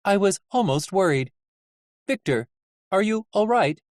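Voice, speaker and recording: An audiobook narrator plays a female robot nurse in a raised voice. She is morbid and matter-of-fact, delivering menace with deadpan bluntness. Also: mid-range voice, measured pace, dry recording, clean studio sound, voice pushed up, lightly acted. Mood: concerned. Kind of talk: audiobook character acting.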